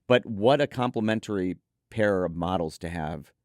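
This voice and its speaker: A man's voice: low-pitched, normal speed, a little mellow, no echo, clean studio sound. A man hosting a conversational product preview, reading out specs and chatting with enthusiasm about them. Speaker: host presenting an audio gear preview.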